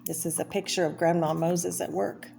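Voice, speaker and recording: A woman's voice: fairly low pitch, flat delivery, moderate pace, dark tone, soft background music. A woman reading a children's book aloud in a plain, explanatory way, as if to young listeners. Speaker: reader of a children's art book. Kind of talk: read-aloud narration with brief personal asides. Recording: clear background noise, homemade read-aloud video.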